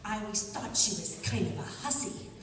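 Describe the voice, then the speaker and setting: A woman acting a naive, excitable, gossipy choir lady in an amateur radio theatre comedy. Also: medium pitch, normal speed, natural voice. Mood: gossipy suspicion. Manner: dim, wide-eyed, muddles big words. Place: college radio theatre performance in a hall. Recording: very echoey noisy hall, distant.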